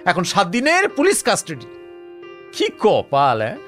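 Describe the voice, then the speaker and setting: An actor playing a talkative, smug police officer in a Bengali audio story, speaking colloquially with scoffing rhetorical tags. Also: male voice, high voice, huge pitch swings, measured pace, a bit bright, faint music behind, full character voice. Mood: pitying. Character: Anishchay, talkative cocksure male police officer, smug and sardonic.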